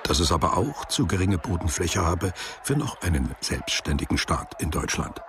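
A man narrating a literary radio drama in measured, deliberate phrases. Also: low voice, very animated, background noise.

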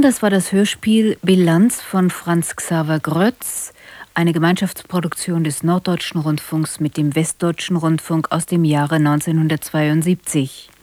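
Lowish-voiced woman radio announcer, dry studio. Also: darkish tone, no room sound. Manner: formal, measured. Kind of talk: scripted broadcast credits announcement.

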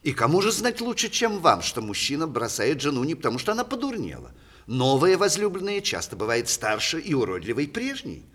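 Older male playwright, witty and courtly, highish husky voice, swooping intonation, vintage radio drama. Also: fairly quick, exaggerated voice. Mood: teasing, courtly. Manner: ironic, theatrical, expressive delivery. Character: witty, teasing aging male playwright, theatrically courtly and ironic. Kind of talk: 1960s Soviet stage radio play acting.

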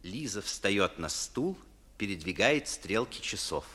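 A man's voice: fairly low pitch, very animated, slow pace, bright, forceful tone. A man narrating scene directions for a 1950s Russian radio play, in scripted storytelling style. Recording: long hall reverb, music behind. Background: music.

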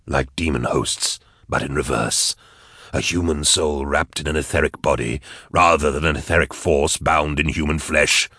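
A man's voice: very deep voice, fairly even intonation, normal speed, sharp tone, no echo, clean studio sound, full character voice. A man voicing a grim, commanding interrogator in an audiobook, terse, grunting and laboured, as if straining to concentrate. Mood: dry, grim. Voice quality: husky, grunting. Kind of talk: dramatised audiobook dialogue.

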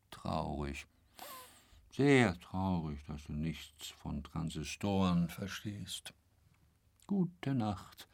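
An actor in a radio drama playing a coarse, self-satisfied, chatty glutton, with a husky voice and a drawling, muttering delivery that slips into whispers. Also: male voice, rumbling low, full character voice. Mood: drowsy, mock-tender.

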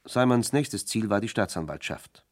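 Casting: male narrator for a radio crime play, deep register, scripted storytelling. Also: animated, moderate pace.

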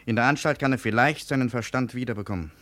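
A sharp, forceful man as acted by a male player in an old radio drama, a shady restaurateur and fiancé. He is brusque and overbearing, with a mid-pitched voice and a dismissive edge. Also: quick pace, lightly acted. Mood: brisk, dismissive. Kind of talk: 1950s German radio play acting.

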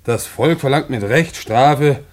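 A man's voice: mid-range voice, slow pace, close to an everyday voice. A man playing a gossipy, snooping husband in a German radio play, colloquial and insinuating. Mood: reading pointedly. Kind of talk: 1950s-set German radio play acting.

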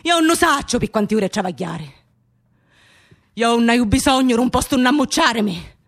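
Anguished Sicilian woman, high-voiced. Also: expressive, moderate pace. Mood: desperate, insistent. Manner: incantatory, repeating phrases with intensity. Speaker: stage actress in a radio theatre piece. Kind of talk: dramatic theatrical monologue with incantatory repetition.

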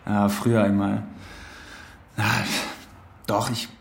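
An actor playing a calm, cultured fellow prisoner in a radio drama. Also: male voice, fairly low pitch, slow pace, gravelly, reverberant. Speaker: inmate Max.

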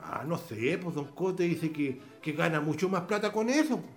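A plain-spoken Chilean countryman acted by a man for radio theatre, scornful of the rich. His voice sits high and leaps about in pitch, with drawling exclamations over a music bed. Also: animated, close to an everyday voice. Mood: resigned, indignant. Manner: folksy rural speech with drawn-out exclamations. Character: outspoken rustic farmhand, idealistic and plain-spoken, scornful of the rich. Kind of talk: radio drama acting.

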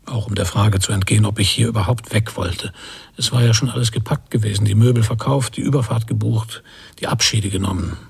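An actor playing an anxious, brooding emigrant husband in a radio drama, speaking in halting fragments with a husky, breathy voice that often nears a whisper. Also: male voice, on the low side, darkish tone, full character voice. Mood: brooding, subdued. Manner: halting, introspective, short fragments. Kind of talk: literary radio drama monologue.

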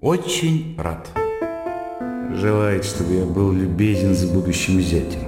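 An actor in a radio drama playing an irritable, weary, sardonic gentleman with a dry manner. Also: low voice, animated, measured pace. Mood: irritated. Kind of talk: radio drama acting, inner monologue and dialogue. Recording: echoey hall reverb, guitar music behind.

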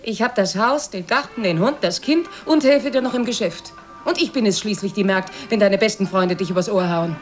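A woman's voice: mid-range voice, brisk, soft background music, close to an everyday voice. A woman playing a bitter, nagging middle-class wife and weary mother in a radio drama, proper and full of reproach. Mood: indignant.

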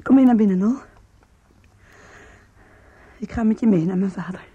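A woman's voice: mid-range voice, moderate pace, mellow, slightly rough, no echo, dull audio. An actress playing a tender, commanding young woman in a radio drama, speaking intimately and often in a whisper. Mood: firm, caring. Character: tender, commanding young woman secretly meeting her sweetheart.

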